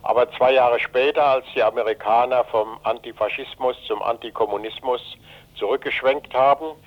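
Radio interview guest: man, 80s, from Germany, emphatic and deliberate with pauses, dull band-limited sound. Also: mid-range voice, steady tone. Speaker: interview guest, retired journalist and anti-fascist activist. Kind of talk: radio interview, political account.

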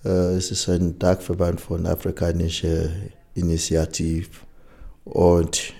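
A man's voice: deep voice, monotone, slow, creaky, dark tone. A man from Nigeria, telling of his experiences in an interview, hesitant and searching for words. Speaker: interviewee, association chairman.

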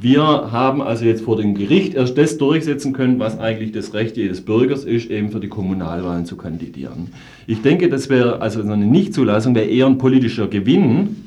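Low, mellow-voiced man explaining politics analytically. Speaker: political discussion guest. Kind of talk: political discussion, explanatory argument.

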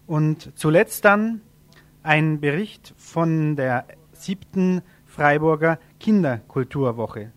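A man's voice: a little high, slow pace. A man presenting a radio news magazine in an informal style.

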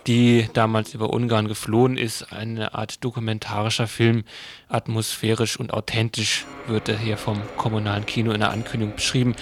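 A man presenting event listings and programme previews on the radio, addressing listeners informally. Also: on the low side. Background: music.

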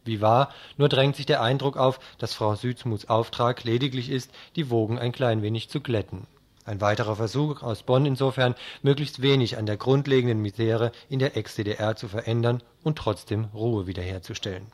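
A man's voice: medium pitch, moderate pace. A man presenting a scripted radio news report.

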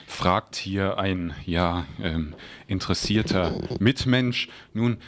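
A man's voice: low voice, slow. A man co-hosting a studio show, joking in a dry, ironic way. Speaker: co-host.

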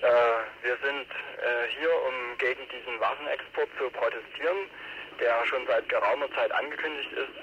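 Man, noisy radio-telephone link, mid pitch, protester giving a statement, halting delivery. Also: fairly even intonation, muffled recording. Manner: halting, many hesitations. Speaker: protester, interviewee.

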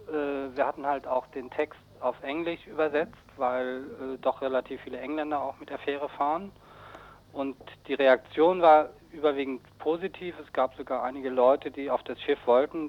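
A man being interviewed on the radio as an activist, explaining things informally in a matter-of-fact way. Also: mid-range voice. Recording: slightly dull radio recording.

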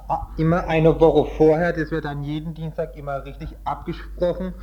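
A man speaks casually as an interviewee. His voice is fairly high and mellow. The recording sounds a little dull. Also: level tone, moderate pace. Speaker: group member being interviewed. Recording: slightly dull lo-fi radio interview.

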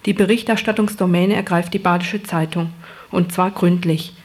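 A woman's voice: on the low side, fairly even intonation, normal speed. Woman reading a scripted radio report. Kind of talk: scripted news report narration.

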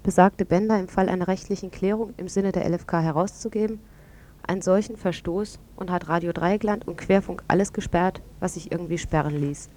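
A woman's voice: on the low side, steady tone, fairly quick, warm tone, a little hiss or hum. A woman radio presenter reading a scripted news commentary.

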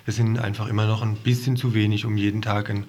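Low, even-toned male radio host. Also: fast talker, a little hiss or hum. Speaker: community radio news host. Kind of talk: informal live sign-off.

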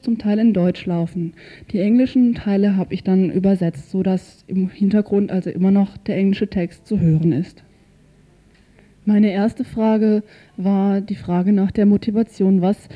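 Dark, mellow-voiced woman radio interviewer. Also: medium pitch. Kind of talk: scripted narration and interview questions.

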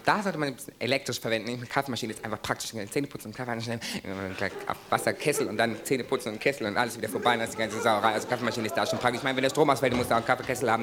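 A man delivering a rambling comic monologue in a breathless, chatty, exaggerated run-on manner. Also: medium pitch. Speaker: cabaret performer.

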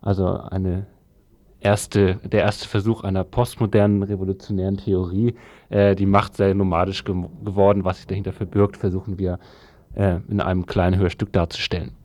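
A man introduces a radio item in a low, very even voice. He is halting and searches for words in an unpolished delivery. The sound is dry. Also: dry recording.

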